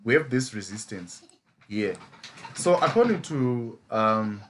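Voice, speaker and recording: A man's voice: medium pitch, very animated, takes it slowly, a little hiss or hum. A man from Zimbabwe with a Zimbabwean English accent, a trading mentor who explains chart patterns in a live lesson and keeps asking rhetorical "as a what?" questions.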